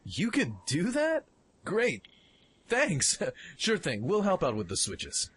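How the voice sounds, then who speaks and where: Man playing a brash, animated young pilot. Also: high voice, slow, breathy edge, heavily acted. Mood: eager. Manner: excitable, informal, impulsive. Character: brash, eager, curious young male mech pilot. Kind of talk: fan audio drama acting.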